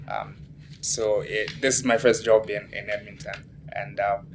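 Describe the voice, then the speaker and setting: A man's voice: fairly low pitch, steady tone, measured pace, vocal fry, background noise. A young man from Zambia introducing himself on a panel in a hesitant, modest way. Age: young adult. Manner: hesitant, modest, many fillers. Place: conference room.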